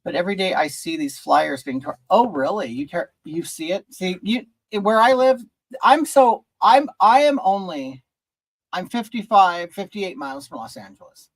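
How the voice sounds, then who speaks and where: A man's voice: high voice, lively intonation, moderate pace, rough recording. Rambling man chatting while doing makeup. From rural California. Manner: rambling and hesitant, many restarts, reading and answering chat. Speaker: livestream host. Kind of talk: casual chat with viewers while doing makeup.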